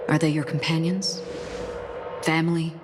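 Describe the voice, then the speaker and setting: A woman playing a calm, enigmatic, forgetful stranger in a video game, speaking in a formal, soft, reflective way. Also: low voice, normal speed, heavy background noise, close to an everyday voice. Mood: wistful, searching. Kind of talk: scripted game voice acting, slow and measured. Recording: music behind the voice. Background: music.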